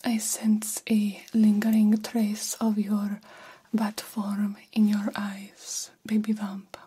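A woman's voice: medium pitch, monotone, mellow, husky. A woman voicing an attentive, courtly vampire doctor in a whispered ASMR roleplay, soothing and formal in her phrasing. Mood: clinical, soothing. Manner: slow, soothing, formal old-fashioned phrasing, reassuring.

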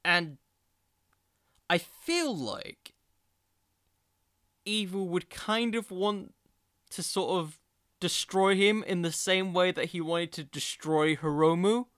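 A man hosting a solo podcast, giving conversational commentary in a musing, halting way with emphatic bursts. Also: high-pitched, fairly bright tone, clear, resonant tone, dry recording, clean studio sound. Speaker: podcast host.

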